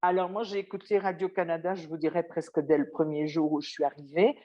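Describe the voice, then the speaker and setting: A woman's voice: sits low, little pitch movement, fast talker, mellow, highs cut off. An older woman from Belgium with a Belgian French accent, speaking thoughtfully in an interview and often restarting her phrases. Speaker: interviewee, former radio worker. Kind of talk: reflective interview talk.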